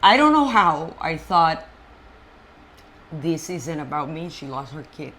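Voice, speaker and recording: A woman from Colombia, a native Spanish speaker, telling her life story as an interview guest in an animated, halting way with frequent restarts. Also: low voice. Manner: animated, halting, many fillers and restarts, frank. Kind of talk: personal storytelling in conversation.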